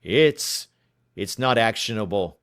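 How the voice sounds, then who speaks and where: A man voicing a shifty middle-aged man caught in a deceit, talking loud and defensive. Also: lowish voice, expressive, slow pace, no room sound, clean studio sound, full character voice. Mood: stammering.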